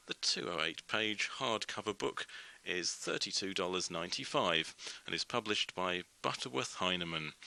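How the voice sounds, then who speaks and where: A man presents on radio, reading scripted news and reviews. His voice sits a little low, with plenty of creaky fry and a very bright, forceful edge. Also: low-quality recording.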